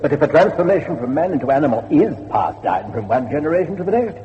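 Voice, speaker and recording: A man's voice: on the high side, big pitch swings, quick pace. An inquisitive, learned man explains in a theatrical horror radio drama style. His intonation swoops widely, and the dull recording rings with long church reverb. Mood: intrigued. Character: inquisitive, learned male guide to a haunted church. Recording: reverberant church effect, dull, faint noise.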